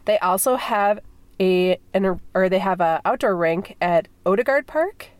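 A woman's voice: medium pitch, a little slow, clear, resonant tone, no room sound. A woman from Minnesota in her thirties, stuffy with a cold, hosting a podcast in a rambling, chatty way with lots of fillers. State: congested from a cold. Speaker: podcast host. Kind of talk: casual chat.

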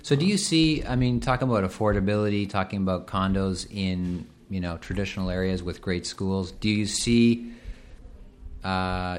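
A man hosting a podcast, asking questions in a casual, hesitant way with many fillers. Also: deep voice. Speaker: podcast host. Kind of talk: podcast interview.

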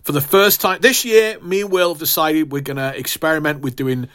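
A man's voice: fairly high pitch, a little fast, fairly bright tone. A man hosting a podcast, talking straight to listeners in an emphatic, mock-grandiose way, casual but partly scripted. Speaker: podcast host.

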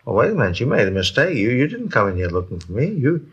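An actor playing a nervous, wheedling small-time crook in a 1950s radio drama, hesitant and repeating himself. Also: male voice, mid-range voice, huge pitch swings, quick pace, slightly rough, lo-fi sound, heavily acted. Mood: indignant protest. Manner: hesitant, repetitive, pleading. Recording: vintage 1950s radio broadcast.

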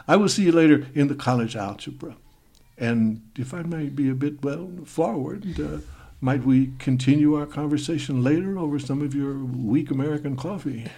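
A man playing a halting Spanish student. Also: fairly high pitch, vocal fry, a little mellow, breathy edge. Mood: tired. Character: young male Spanish exchange student, formal, awkward.

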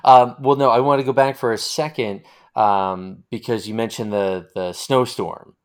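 A man hosting a casual interview, speaking in halting phrases with repeated words. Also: medium pitch, steady tone. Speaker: interviewer, host.

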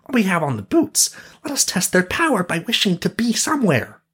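A man puts on a clever adventurer's voice, pitched well above his own. The storybook companion is brisk and talks in old-fashioned, formal phrases. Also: sing-song, a bit bright, slightly rough, heavily acted. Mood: eager.

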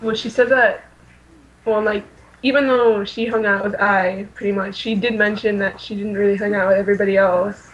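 A woman's voice: medium pitch, little pitch movement, moderate pace, dull audio, slight background noise. A woman co-hosting a fan podcast, talking casually and a little hesitantly with lots of "like.". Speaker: podcast co-host.

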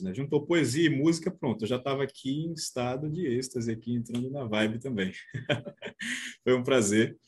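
A man's voice: mid-range voice, a little mellow, slight background noise. A Brazilian man chatting enthusiastically as a panelist, casual and often checking for agreement. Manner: enthusiastic, frequently seeks agreement. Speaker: panelist on a live online discussion show. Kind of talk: casual chat, closing remarks.

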